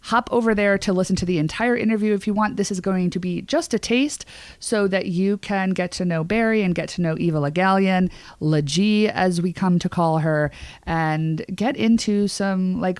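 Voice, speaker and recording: A woman with a fairly low voice chats casually as a podcast co-host. She is emphatic and gushing, with slangy phrasing. The sound is dry, with little room in it. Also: moderate pace, a little mellow, no room sound.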